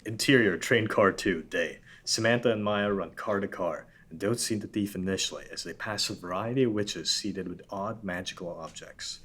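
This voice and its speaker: A man reading the stage directions and narration of a script at a table read in a steady, matter-of-fact way. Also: low voice, moderate pace, hardly any pauses, breathy edge.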